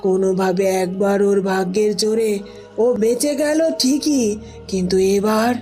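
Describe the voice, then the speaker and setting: A woman playing a vengeful, menacing sorceress in a fairy-tale cartoon, speaking with deliberate emphasis. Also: mid-range voice, warm tone, faint music behind, natural voice. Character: vengeful, menacing sorceress, mother of tantric magic.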